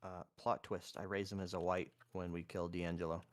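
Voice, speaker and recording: A man's voice: low voice, flat delivery, normal speed. A man chatting casually as a tabletop game player, hesitantly asking questions. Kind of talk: casual chat with game group.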